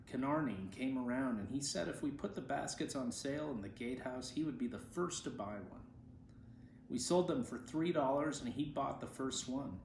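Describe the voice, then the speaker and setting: Aged monk read by a man, seventies, humble and chatty, plain-spoken storybook reminiscing, mid-pitched, roomy sound. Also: natural voice. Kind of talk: storybook reading of quoted speech. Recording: roomy echo, faint background noise.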